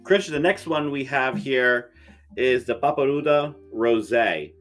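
A man's voice: mid-range voice, unhurried, a bit bright, soft background music. A man co-hosting an interview, introducing things in a conversational way. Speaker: co-host.

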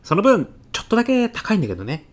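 Man acting a lively, sly, mild-mannered classmate. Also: high voice, very fast. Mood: sly sales pitch. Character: mild, self-effacing, slyly pitching male classmate, thirties. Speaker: actor in an audio comedy sketch. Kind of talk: short comedic radio drama dialogue.

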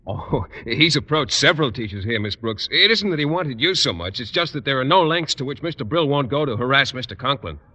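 A man's voice: medium pitch, lively intonation, a little fast, hardly any pauses, sharp tone. A man playing an earnest, naive, literal-minded biology teacher in an old-time radio comedy. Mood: puzzled. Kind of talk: 1940s-50s radio comedy acting.

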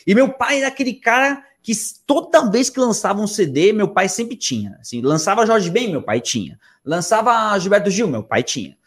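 A man from Argentina speaks in a somewhat high voice with a Brazilian Portuguese accent. His delivery is casual and slangy, wandering through stories as he cuts himself off and picks up again. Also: very animated, moderate pace, hardly any pauses, fairly bright tone. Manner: rambling, slangy, self-interrupting. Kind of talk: casual conversational storytelling.

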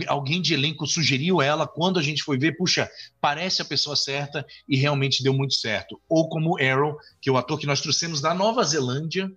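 A man's voice: fairly high pitch, pushed and bright, husky. A man from Brazil, a film director and author, talking in an interview and rambling with frequent restarts and fillers. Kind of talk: interview conversation. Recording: dull lo-fi audio.

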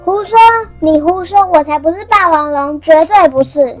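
A squeaky little boy dinosaur. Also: normal speed, faint music behind. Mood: protesting. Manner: insistent high childish protests. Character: young male dinosaur child, stubborn and tearful.

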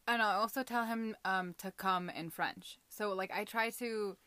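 A young woman from California chats with her sisters and drops in playful asides. Her voice is bright and forward at a middle pitch. The sound is close and dry. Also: fairly even intonation, measured pace, no room sound. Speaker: podcast co-host. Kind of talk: casual chat among sisters.

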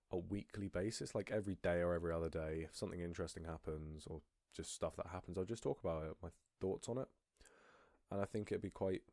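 A man's voice: deep voice, darkish tone, dry recording, clean studio sound. A young man talking casually on his own podcast, rambling with frequent restarts. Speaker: podcast host. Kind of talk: casual solo podcast monologue.

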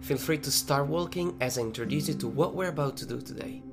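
A man narrating a guided running meditation as a coach, giving gentle instructions, with plucked guitar behind him. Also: on the high side, quick pace, vocal fry. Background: plucked guitar music.

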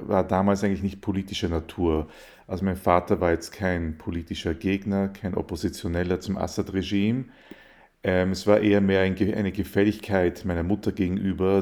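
A man's voice: sits low, darkish tone. A young man, answering interview questions in a thoughtful, hesitant way. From Austria. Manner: thoughtful, hesitant with fillers and restarts. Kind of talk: casual interview.

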